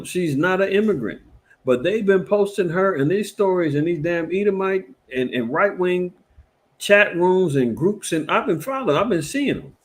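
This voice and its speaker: A man speaks in a somewhat high voice. He rambles through casual reaction commentary, animated and emphatic, with lots of repeats and fillers. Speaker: commentary show host.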